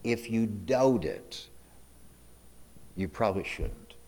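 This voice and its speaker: A middle-aged pastor preaching a sermon, repeating his key questions and moving between loud emphasis and quiet asides. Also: on the low side, expressive, slow.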